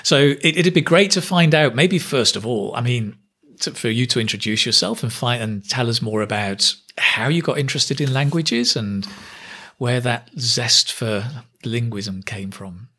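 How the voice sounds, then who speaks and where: A man's voice: mid-range voice, moderate pace, pushed and bright, breathy edge. A man hosting an interview, a teacher who talks in a friendly way and often restarts his words. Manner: hesitant, repeats words, friendly. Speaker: interview host and English exam teacher. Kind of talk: interview conversation.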